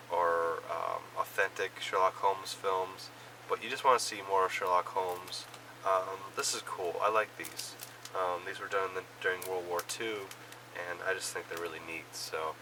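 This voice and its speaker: Hoarse low-voiced man with a cold. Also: recorded from a distance, background noise. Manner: rambling, many fillers and restarts. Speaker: vlogger reviewing films and shows. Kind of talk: casual monthly watch recap.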